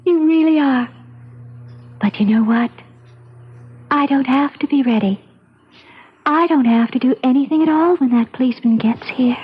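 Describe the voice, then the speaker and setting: An actress playing a sly, troubled orphan girl psychic in a 1970s radio drama, with a slow, theatrical delivery. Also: high-pitched, slightly rough. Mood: sly, taunting. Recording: dull old radio broadcast, loud music.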